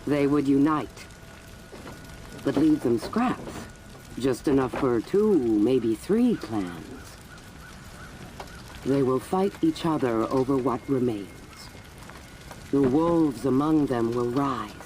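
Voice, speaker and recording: An actor playing a cold, manipulative warlord who speaks calmly and deliberately in a game cutscene. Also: male voice, mid-range voice, a little hiss or hum, rough recording. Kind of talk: video game cutscene acting.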